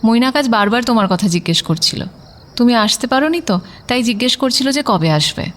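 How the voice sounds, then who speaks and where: A woman's voice: on the high side, expressive, fairly quick, a bit bright. A woman playing a young professional, a bantering, defensive and devoted girlfriend in an audio story. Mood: affectionate, probing. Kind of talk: audio story dialogue in casual Bengali.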